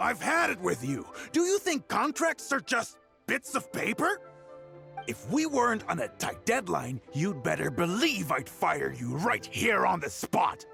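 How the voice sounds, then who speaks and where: A man's voice: high-pitched, big pitch swings, unhurried, creaky, bright, forceful tone, exaggerated voice. A man voicing an irascible, bullying tabloid editor in a video game, blustering and scolding. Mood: angry, threatening. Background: music.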